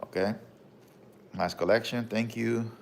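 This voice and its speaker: A man with a Puerto Rican accent hosting a casual livestream, reading viewer comments and showing off his collection, with a relaxed manner and frequent "bro" and "okay?". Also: lowish voice, a little slow, creaky, a little hiss or hum. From Puerto Rico. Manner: relaxed, repeats "bro" and "okay?", many fillers.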